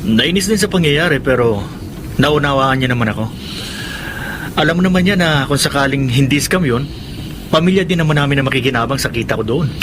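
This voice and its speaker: An actor playing an easygoing, apologetic friend in a radio drama, speaking in a conciliatory, sympathetic way. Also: male voice, mid-range voice, animated, fairly quick, background noise, natural voice.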